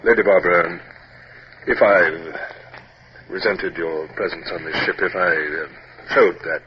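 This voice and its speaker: Gruff, deep naval captain. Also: male voice, measured pace. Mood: subdued, awkward. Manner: formal, clipped, hesitant when moved. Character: stern, gruff but humane British naval captain. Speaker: lead character and narrator. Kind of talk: 1950s radio drama acting. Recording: noisy, muffled vintage radio recording.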